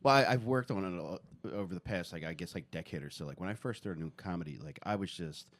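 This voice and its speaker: A man in his thirties, a comedian guest on a podcast, talking in a halting, self-deprecating way in a studio. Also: low voice, no room sound. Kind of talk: casual podcast chat.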